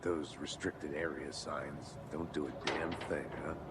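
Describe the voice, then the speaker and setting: An actor playing a gruff, weary middle-aged detective with a terse, deadpan delivery in a video game. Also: male voice, rumbling low, unhurried, heavy background noise. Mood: dry, sardonic. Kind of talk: cinematic video game acting.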